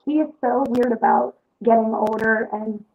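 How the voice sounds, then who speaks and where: Emphatic woman, slightly high, lo-fi. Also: steady tone, moderate pace, creaky. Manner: frank, emphatic. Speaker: podcast guest. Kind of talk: casual chat. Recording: dull lo-fi sound with faint noise.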